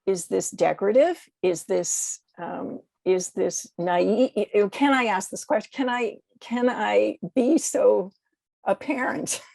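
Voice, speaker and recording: An American woman speaking as a guest poet in a reflective interview, halting and searching for words as she corrects herself. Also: medium pitch, gravelly, a little mellow, dry recording. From United States.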